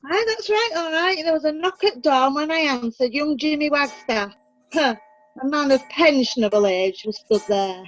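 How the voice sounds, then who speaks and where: A woman's voice: sits high, animated, moderate pace, lo-fi sound, soft background music. A woman playing a blunt working-class widow with a Yorkshire accent, giving dry evidence in a comic courtroom play. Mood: blunt, indignant.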